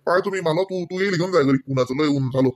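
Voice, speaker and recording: A man's voice: a little high, fast talker, lightly acted. A man voicing a grumbling but caring old farmer father in a comedy cartoon, insistent, with a rural Marathi accent.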